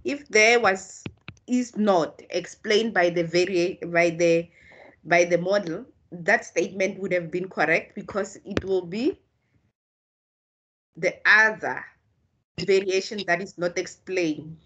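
Slow, husky South African woman tutor. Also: on the low side, fairly bright tone, rough recording. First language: Tswana. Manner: slow, patient explaining with restarts. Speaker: statistics tutor. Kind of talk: online tutorial. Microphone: video call.

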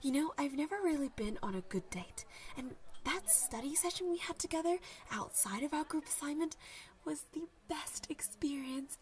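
A woman's voice: high-pitched, lively intonation, moderate pace. A young woman voice acting a lovestruck, chatty student gushing dramatically to friends in an audio roleplay. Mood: dreamy, smitten. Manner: gushing, dramatic, babbly, sighing. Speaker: voice actor. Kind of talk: audio roleplay, one-sided conversation with friends.

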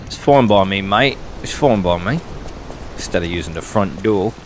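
A man's voice: low-pitched, animated, normal speed, noisy background. A man giving casual let's play commentary, muttering half to himself and half to the game. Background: video game sound.

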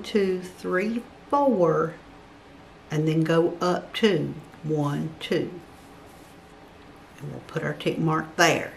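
An older woman talks through a drawing lesson at her work table, counting off squares one by one. Her voice sits a little low and moves at an unhurried, very slow pace. A faint hiss lingers under the recording. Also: creaky. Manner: slow, patient counting aloud while drawing. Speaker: craft instructor. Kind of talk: step-by-step drawing tutorial.

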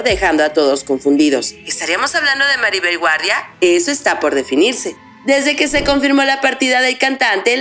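A woman reading a scripted celebrity gossip news voice-over, brisk and dramatic. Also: medium pitch. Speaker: entertainment news narrator.

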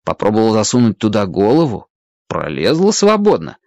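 A man's voice: fairly low pitch, huge pitch swings, moderate pace, a little mellow, no echo, muffled recording. A man narrating a children's adventure story expressively from a script, as the narrator of a radio play.

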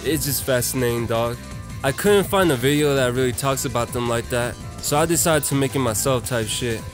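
A man's voice: mid-range voice, a little fast. Casual young man over faint music. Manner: irreverent, profane, conversational. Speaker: video essayist. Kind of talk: casual, slangy video essay narration.

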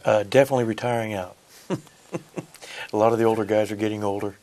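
A man's voice: lowish voice, fairly even intonation, fairly quick, darkish tone. A middle-aged man, a union apprenticeship coordinator answering in a studio interview, earnest and deferential on a lapel mic. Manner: earnest, deferential, says "yes, sir".

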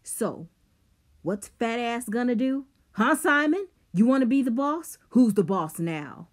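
A woman voicing a furious teenage boy who bullies with a bat, shouting threats. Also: fairly low pitch, animated, slow pace, slightly rough, exaggerated voice. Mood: enraged.

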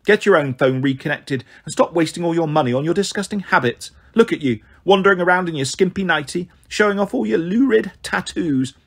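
A man voicing an indignant woman neighbour in a storybook, sharp-tongued, sarcastic and commanding. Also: high voice, lively intonation, a little fast, vocal fry, few pauses, breathy edge, pitched above the performer's own voice, full character voice. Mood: scornful.

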